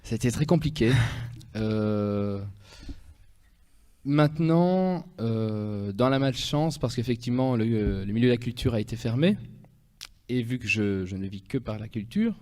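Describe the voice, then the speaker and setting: A man with a Belgian French accent, speaking as an interview guest in an easy, chatty manner. His voice is mellow and dark at a middle pitch. The sound carries a long reverb. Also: lively intonation, measured pace. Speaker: guest, pianist and actor. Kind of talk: radio interview.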